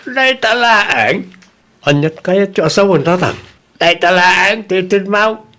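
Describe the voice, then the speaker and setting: A man's voice: high voice, slow, bright, forceful tone, heavily acted. A man playing an old father in an audio drama, nosy and complaining, with grumbling exclamations.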